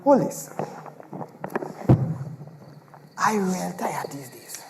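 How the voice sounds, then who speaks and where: A man's voice: sits high, very animated, a little slow, creaky, background noise, exaggerated voice. Man acting a blustering Trinidadian husband, echoey hall. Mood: weary bluster. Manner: exasperated, exclamatory, dramatic. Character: selfish, rum-loving husband and father, blustering and evasive. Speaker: stage actor. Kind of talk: theatrical dialect stage comedy. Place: large performance hall, on stage. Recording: echoey hall with long reverb.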